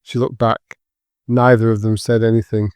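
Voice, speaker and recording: A man narrating dramatic fiction for an audiobook in a sombre, measured, scripted manner. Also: on the low side, little pitch movement, a little mellow, clean tone, dry recording.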